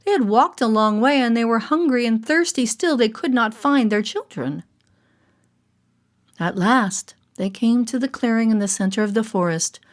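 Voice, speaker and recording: A woman narrating a children's story in a theatrical, deliberate way, with music behind her. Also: fairly high pitch. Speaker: storyteller and voice artist.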